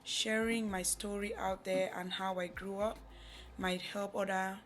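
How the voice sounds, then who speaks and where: A woman from Cameroon with a Cameroonian accent, giving a reflective personal account as a guest, halting and pausing mid-thought. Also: mid-range voice, little pitch movement. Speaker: podcast guest, charity representative.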